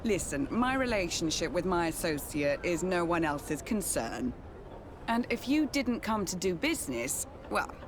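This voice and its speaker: Curt merchant, ambient noise behind. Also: female voice, fairly low pitch, big pitch swings, a little slow. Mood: irritated, dismissive. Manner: dry, dismissive. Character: curt, guarded businesslike female merchant. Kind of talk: dramatic video game dialogue.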